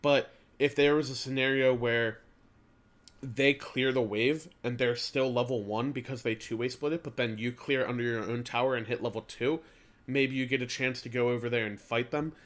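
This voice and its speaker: A man's voice: medium pitch, fairly even intonation, normal speed, fairly bright tone. Nasal young man talking patch notes. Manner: loose, slangy, talks while reading text. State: nasal from allergies. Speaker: gaming video host. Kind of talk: casual patch-notes commentary.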